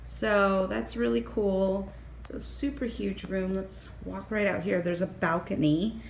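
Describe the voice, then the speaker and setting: A young woman giving an excited, chatty hotel room tour, her voice a little hoarse and raspy, filmed on a handheld phone. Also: mid-range voice, slow pace, slight background noise. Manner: bubbly, excited, chatty with fillers. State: slightly hoarse, lost voice. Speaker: travel vlogger. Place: hotel room and ground-floor patio. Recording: roomy echo, faint ambience.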